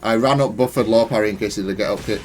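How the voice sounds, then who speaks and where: A man's voice: fairly low pitch, racing, faint music behind. A man giving matter-of-fact, analytical commentary on gameplay, thinking aloud in a stop-start way. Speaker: gamer explaining own match replay.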